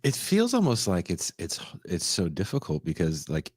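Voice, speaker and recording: A man's voice: low-pitched, huge pitch swings, normal speed, a little mellow, breathy edge, no echo. A man hosting a podcast, asking earnest, searching questions in a casual way. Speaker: podcast host.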